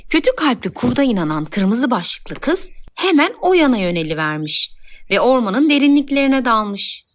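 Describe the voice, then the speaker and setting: A woman narrates a children's fairy tale as a storyteller. Her voice sits a little high and moves in lively, expressive swoops. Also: normal speed.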